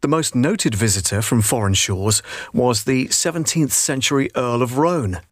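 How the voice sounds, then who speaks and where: British male voice-over, middle-aged, measured scripted storytelling read, medium pitch, dry booth sound. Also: expressive, no echo. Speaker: voice-over artist. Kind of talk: scripted documentary narration for a promotional video. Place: vocal booth. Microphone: studio condenser microphone.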